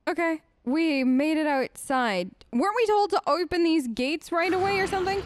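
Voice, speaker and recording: A woman's voice: very high-pitched, lively intonation, normal speed. A woman giving casual, playful let's play commentary, chatty and exclaiming, with game sounds behind her. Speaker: gaming host. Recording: loud game noise behind voice. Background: game sounds and ambience.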